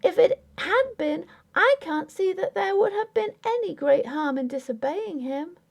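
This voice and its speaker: A woman's voice: very high-pitched, animated, a little slow, gravelly, voice pushed up, heavily acted. A woman voicing an indignant, tearful, stubborn young Victorian wife in a ghost story audiobook, with formal, emphatic speech. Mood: quick retort. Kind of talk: dramatic audiobook character acting.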